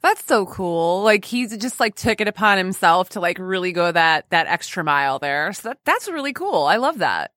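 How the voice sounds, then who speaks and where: A woman's voice: fairly low pitch, sing-song, normal speed, few pauses, a bit bright, no echo, clean studio sound. A woman hosting a podcast in a chatty, informal and playful way. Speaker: podcast host. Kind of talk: casual chat.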